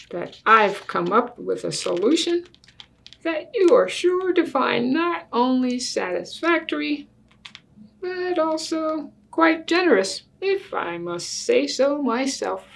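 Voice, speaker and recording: A woman's voice: sits high, big pitch swings, takes it slowly, creaky, pitched above the performer's own voice, heavily acted. An older woman voicing a bossy, precocious little girl from a storybook who persuades her daddy in a pompous, mock-reasonable way. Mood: self-assured.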